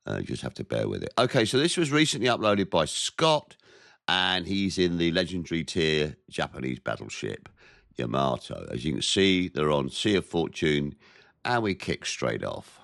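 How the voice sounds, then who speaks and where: A man's voice: deep voice, very animated, gravelly, a bit bright, no echo, studio quality. Nasal man with a head cold. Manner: measured, plain-spoken play-by-play. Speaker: gameplay commentator. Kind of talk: naval game commentary.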